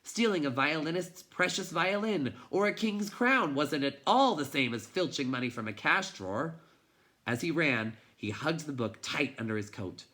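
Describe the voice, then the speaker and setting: A man reading a children's novel aloud in an expressive, dramatic way, moving between hushed and intense delivery. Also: fairly high pitch, normal speed. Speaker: teacher reading a storybook aloud.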